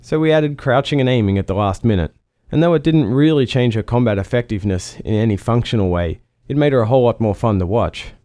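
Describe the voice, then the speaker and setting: A man's voice: mid-range voice, fast talker, hardly any pauses, warm tone. A man speaking as a game developer in a narrated commentary.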